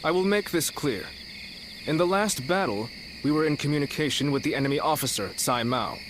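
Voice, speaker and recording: A man playing a shrewd, commanding strategist of ancient China in a game cutscene, speaking formally and deliberately. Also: a little high, lively intonation, noisy background, lightly acted. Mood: stern, accusing. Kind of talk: video game cutscene acting.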